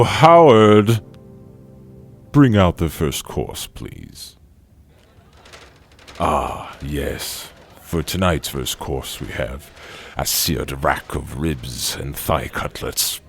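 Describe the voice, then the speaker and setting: A wealthy gala host speaks in a slow, extremely deep, gravelly voice over faint music. He is jovial and theatrical in this audio drama, savoring his grand phrases with a silky menace. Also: exaggerated voice. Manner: grandiose, savoring, drawn-out delivery. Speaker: banquet host.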